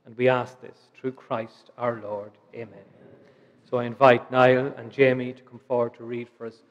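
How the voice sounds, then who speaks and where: A middle-aged Irish priest leading funeral Mass prayers in measured liturgical recitation at a stand mic in a large church. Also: male voice, medium pitch, level tone. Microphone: stand mic at altar. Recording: lo-fi church livestream with long reverb.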